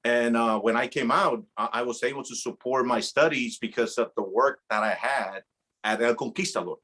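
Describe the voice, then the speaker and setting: A man's voice: mid-range voice, moderate pace. A man from Rico, in his thirties, telling his story as a podcast guest with earnest energy and frequent restarts. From Puerto Rico. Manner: earnest, animated storytelling with repeated words. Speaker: guest, insurance agency director. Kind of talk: casual podcast interview.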